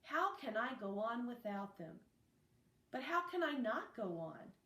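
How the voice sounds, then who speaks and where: A woman's voice: a little high, very animated, unhurried, bright, forceful tone, exaggerated voice. A woman voicing an orphaned refugee boy in a storybook, desperate yet determined, with pleading, emphatic delivery. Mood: anguished, resolving. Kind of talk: storybook character reading. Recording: roomy, echoey, distant microphone.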